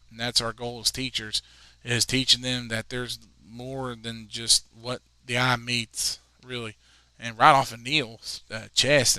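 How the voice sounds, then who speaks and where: A man in his twenties calling a high school soccer game, chatting in rambling asides between plays. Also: sharp tone, clear, resonant tone, no room sound, rough recording. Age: 20s. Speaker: play-by-play commentator.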